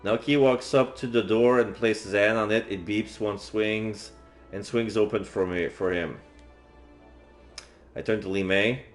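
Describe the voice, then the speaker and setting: A man with a slightly low voice reads game text aloud as a streamer. He mixes narration with casual asides, and faint game music sits behind him. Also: steady tone, measured pace. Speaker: streamer playing a visual novel.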